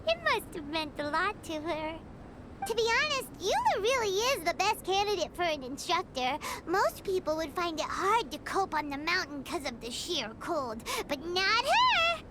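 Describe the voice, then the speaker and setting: A woman voicing a tiny, excitable fairy companion in a game, who talks about herself in the third person. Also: very high-pitched, normal speed. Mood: chatty. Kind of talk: game cutscene dialogue. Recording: noisy game audio.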